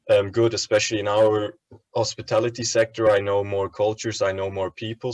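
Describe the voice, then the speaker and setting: A man, answering interview questions in a relaxed way and pausing to search for words. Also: deep voice, no room sound, poor audio. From Turkey. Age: 20s. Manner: easygoing, searches for words with ums and likes. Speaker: student interviewee.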